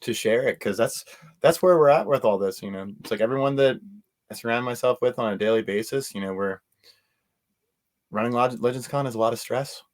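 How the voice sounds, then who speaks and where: A man's voice: mid-range voice, a little fast. An American man speaking as an interview guest, casual and easygoing with an earnest way of talking. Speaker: interview guest, convention owner.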